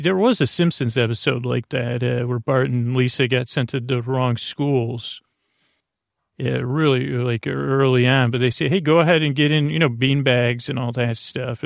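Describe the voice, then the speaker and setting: Creaky-voiced man rambling softly. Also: mid-range voice, no echo, clean studio sound. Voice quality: creaky, soothing, lulling. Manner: meandering, full of tangents and filler sounds. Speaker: podcast host. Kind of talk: rambling bedtime podcast intro.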